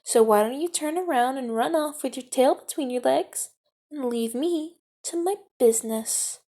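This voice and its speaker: A woman's voice: high voice, normal speed, dark tone. A woman playing a taunting street extortionist. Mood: sneering. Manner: taunting, mock-sweet, menacing whispers. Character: menacing knife-wielding female street extortionist. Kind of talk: ASMR audio roleplay.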